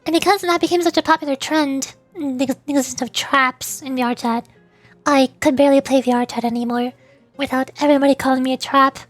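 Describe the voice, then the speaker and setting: A woman with a small, squeaky, girlish voice, chatting casually and earnestly to viewers on a livestream. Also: fairly even intonation, brisk, dry recording, faint music behind. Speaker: streamer and VTuber, voice actor.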